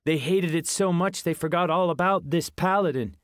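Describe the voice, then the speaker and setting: A man's voice: fairly high pitch, moderate pace, no room sound, clean studio sound, pitched above the performer's own voice, natural voice. Man voicing a matter-of-fact young barbarian.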